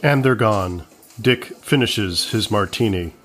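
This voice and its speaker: A man's voice: fairly low pitch, unhurried, fairly bright tone. Man narrating a scripted comedy podcast.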